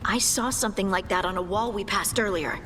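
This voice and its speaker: An actress playing a candid, earnest adventurer companion in a video game, with a conversational delivery. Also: female voice, medium pitch, fairly quick, a bit bright, slight background noise, close to an everyday voice. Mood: earnest, uneasy.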